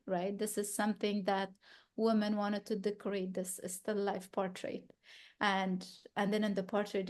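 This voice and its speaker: Woman artist from Afghanistan, thirties, gallery talk, thoughtful and halting with restarts, mid-pitched voice. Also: a little mellow. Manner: thoughtful, searching for words, frequent restarts. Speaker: exhibiting artist.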